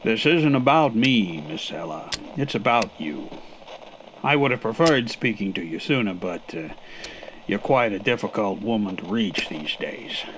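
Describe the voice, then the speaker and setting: A man's voice: lowish voice, animated, a little slow, a bit bright, rough recording. A man playing a shadowy impostor in an old-time radio drama, insinuating and politely insistent in his delivery. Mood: sly, menacing. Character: shadowy smooth-talking impostor posing as studio newcomer, a man. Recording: noticeable background noise.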